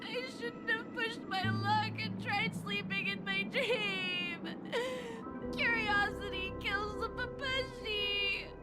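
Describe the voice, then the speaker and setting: A tiny, jumpy game creature, whimpering in panic. The voice is extremely high and drags out its words tearfully. Loud music plays behind it. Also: female voice, fairly even intonation, takes it slowly. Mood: panicked, tearful. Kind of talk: video game voice acting.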